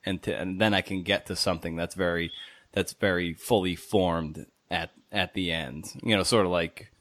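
A man's voice: sits low, fairly bright tone, no echo. A man hosting a podcast interview, asking long, informal questions in a conversational, rambling way.

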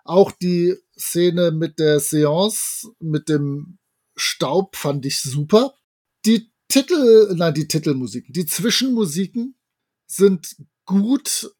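A man's voice: sits high, expressive, slow, a little mellow, slightly rough. A man co-hosting a podcast, giving his opinions in a casual, conversational review style. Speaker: podcast co-host.

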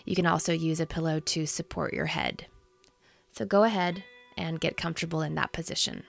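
Low-pitched, creaky-voiced woman guiding meditation. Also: steady tone, faint music behind. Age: 30s. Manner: soothing, gentle, unhurried instruction. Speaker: meditation guide. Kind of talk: guided meditation.

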